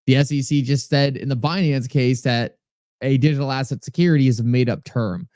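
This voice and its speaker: A man with a New England accent runs a live stream in an easygoing, conversational way, answering comments and thanking viewers one by one. His voice is smooth and resonant, and it is recorded close and dry with no room sound. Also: mid-range voice, steady tone, fairly quick, darkish tone, dry recording. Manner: chatty, reads viewer comments aloud and thanks them by name. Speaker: livestream host. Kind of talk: casual livestream talk answering chat.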